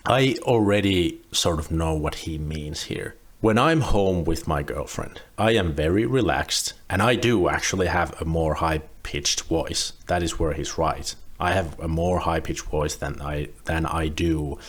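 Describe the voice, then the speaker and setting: A young man, relaxed and rambling, commenting on a video clip he is reacting to. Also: rumbling low, a little slow, few pauses. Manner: relaxed, rambling with frequent restarts.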